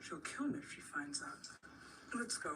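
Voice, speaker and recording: A woman's voice: sits low, big pitch swings, moderate pace, recorded from a distance. Casting: a plucky young American boy, matter-of-fact and determined, radio play with heavy background noise. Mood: determined, urgent. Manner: blunt, urgent, matter-of-fact. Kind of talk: radio drama acting.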